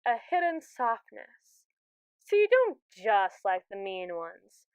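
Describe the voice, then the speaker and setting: A woman's voice: mid-range voice, big pitch swings, takes it slowly, very noisy. A woman playing a smug, sassy, domineering female robot AI in an ASMR roleplay monologue, theatrical and wordy as she teases the listener. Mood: smug, teasing. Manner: sassy, theatrical, verbose and teasing.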